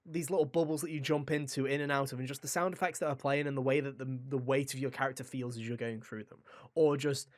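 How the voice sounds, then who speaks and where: A man's voice: mid-range voice, steady tone, quick pace. A man hosting a gaming podcast, talking casually in an enthusiastic, rambling way with some swearing. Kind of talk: casual video game discussion.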